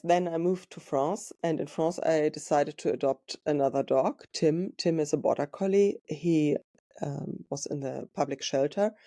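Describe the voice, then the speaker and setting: A woman with a German accent hosting her own podcast. She tells her story in a slow, reflective monologue and often searches for words. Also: low-pitched, dark tone. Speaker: podcast host and dog trainer.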